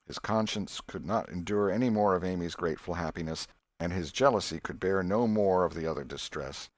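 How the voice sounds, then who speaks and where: A man's voice: low voice, brisk, dry recording. A man reading scripted literary narration as an audiobook narrator.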